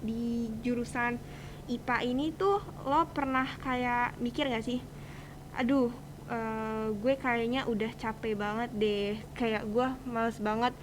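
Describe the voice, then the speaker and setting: A woman's voice: a little high, steady tone, takes it slowly. A teenage young woman with a Jakarta accent hosting a casual student podcast, chatty and encouraging in colloquial speech. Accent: Jakarta Indonesian. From Indonesia. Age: teens, high school student. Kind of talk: casual chat, interviewing. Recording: faint background ambient noise.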